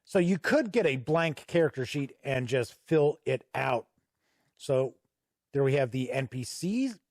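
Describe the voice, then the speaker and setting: A man giving a casual product walkthrough as a reviewer, conversational and halting with frequent pauses. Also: medium pitch, lively intonation, dry recording, clean studio sound. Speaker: tabletop game reviewer and host.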